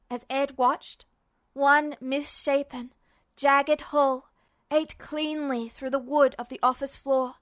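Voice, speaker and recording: A woman reading fan fiction aloud as scripted narration, with dramatic, expressive storytelling. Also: sits high. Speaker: amateur audiobook narrator.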